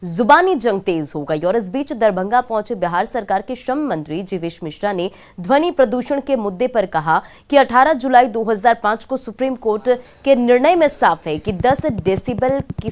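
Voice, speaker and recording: A woman delivering scripted news narration as a voice-over. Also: medium pitch.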